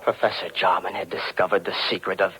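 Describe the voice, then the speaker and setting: An actor playing an intense, obsessed young chemistry teacher in an old radio mystery, in a brooding, melodramatic style. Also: male voice, low voice, little pitch movement, fast, sharp tone, breathy edge, exaggerated voice, rough recording. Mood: awed, obsessed. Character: nervous, obsessed young chemistry teacher narrating his scheme. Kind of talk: 1940s radio mystery acting and narration. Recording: old radio broadcast recording.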